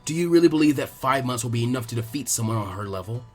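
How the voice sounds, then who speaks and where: Man doing a cool, arrogant boy prodigy, measured. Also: mid-range voice, lively intonation, faint music behind, close to an everyday voice. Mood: smug, cold. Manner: condescending, measured, deliberate. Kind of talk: dramatic audiobook character acting.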